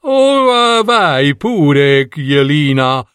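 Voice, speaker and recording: A man voicing older female storybook figures in a shrill, emphatic manner. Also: sing-song, drawn-out words, a bit bright, clean tone, no room sound, studio quality, full character voice. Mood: solemn. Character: scolding fox mother and a furious stepmother.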